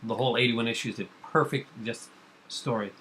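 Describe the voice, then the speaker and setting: A man chatting casually, relaxed and rambling, with hesitant restarts. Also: medium pitch, a little fast, a little hiss or hum, rough recording. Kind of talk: casual chat.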